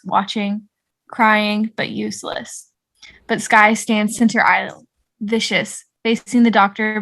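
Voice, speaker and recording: A woman's voice: medium pitch, a bit bright, low-quality recording. A woman narrating the stage directions of a drama script at an online table read.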